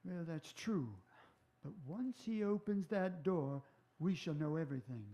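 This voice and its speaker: Mellow, commanding police inspector. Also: male voice, a little high, measured pace, poor audio. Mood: commanding, confident. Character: shrewd, commanding male police inspector on a stakeout. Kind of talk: stage radio drama acting.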